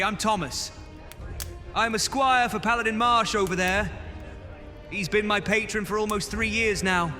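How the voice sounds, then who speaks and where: A man's voice: high-pitched, pushed and bright. A man playing a young, chatty, unsure squire in a fantasy audio roleplay, gushing and a little nervous.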